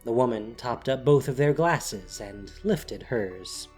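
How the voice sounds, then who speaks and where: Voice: medium pitch, lively intonation, takes it slowly, darkish tone, husky, faint music behind. A narrator reading a scripted, dramatic story for an audio drama.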